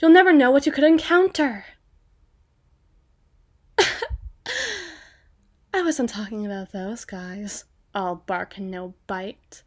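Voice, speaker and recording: A woman's voice: medium pitch, lively intonation. A woman playing a small, proper, cocky female vampire in an ASMR roleplay, chatty and teasing in a playfully prim way. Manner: playfully prim, teasing, with drawn-out phrases and asides.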